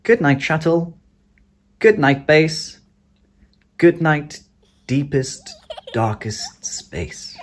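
A man reading a children's picture book aloud in an expressive, playful, measured way, as an animated storyteller. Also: a little high. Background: sound effects, chimes, vehicle noise.

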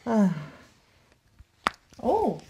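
A woman's voice: a little high, wildly swooping pitch, very slow, warm tone, a little hiss or hum. A woman in her thirties, a patient on a treatment table, giving brief unscripted murmurs and short exclamations. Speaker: chiropractic patient. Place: small carpeted treatment office, indoors, lying on a table. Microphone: boom-mounted microphone near her.

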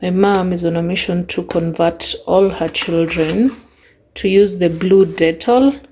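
A woman's voice: on the low side, measured pace, warm tone, slight background noise, poor audio. A young adult woman with a Kenyan accent, chatting casually through a shopping haul voice-over and listing items one by one. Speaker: vlogger.

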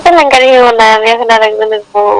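A woman's voice: a little high, quick pace. A woman with a Bangladeshi accent chatting casually and intimately on a phone call. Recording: noisy phone call recording.

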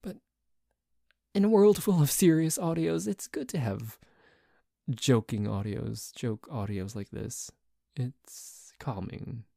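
A man's voice: medium pitch, slow pace, vocal fry, dark tone, dry recording, studio quality. A man, an audio roleplay creator, rambling casually and self-deprecatingly to his listeners. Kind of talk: casual outro chat to listeners.

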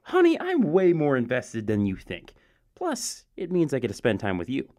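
A woman's voice: a bass voice, huge pitch swings, normal speed, dark tone, exaggerated voice. A woman playing a snarky, flirty goddess narrator in an audio drama, teasing and sardonic. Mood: dry amusement.

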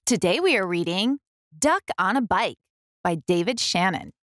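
A woman reading a picture book aloud for young children, deliberate and expressive. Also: mid-range voice, dry recording, studio quality. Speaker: children's book reader and host.

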